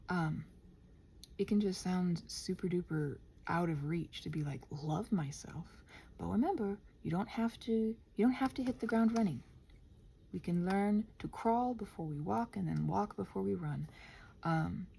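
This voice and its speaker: Woman rambling off the cuff, crackly mellow voice, trails into half whispers, bit of background noise. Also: lowish voice. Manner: halting, self-interrupting, often dropping to breathy half-whisper. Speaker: host of an improvised ramble audio for body doubling. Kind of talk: casual stream-of-consciousness ramble, ASMR-adjacent.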